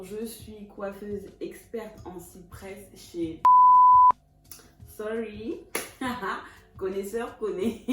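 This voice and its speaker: A woman's voice: medium pitch, recorded from a distance. Chatty thirty-year-old woman vlogging in an echoey room. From Congo (Kinshasa). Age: 30s. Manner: warm, chatty, laughs often, mixes in English words. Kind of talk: casual self-introduction vlog. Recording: echoey room, voice a bit off-mic, music behind.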